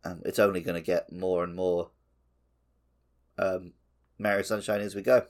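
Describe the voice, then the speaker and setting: A man with a fairly low voice full of vocal fry talks as a gaming podcast co-host. He speaks casually and loosely, as in unscripted conversation between two people. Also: level tone, moderate pace.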